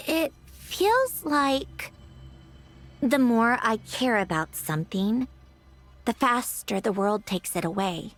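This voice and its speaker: A woman's voice: on the high side, expressive, takes it slowly, a bit bright, soft background music, exaggerated voice. A woman playing a melancholy, guarded young woman in a video game, wry and resigned, with sighs and a weariness of loss. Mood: bitter, resigned. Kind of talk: dramatic video game voice acting.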